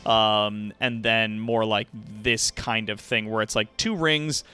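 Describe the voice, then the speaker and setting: A man livestreaming in casual chat with viewers, thinking aloud in loose, halting phrases. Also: on the low side, steady tone, pushed and bright, clean tone, faint music behind.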